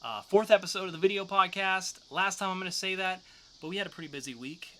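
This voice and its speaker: A man's voice: high voice, quick pace, pushed and bright, slight background noise. A man co-hosting a podcast, talking through news and reviews in a casual, joking way. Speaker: podcast co-host. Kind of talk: news segment and comics review.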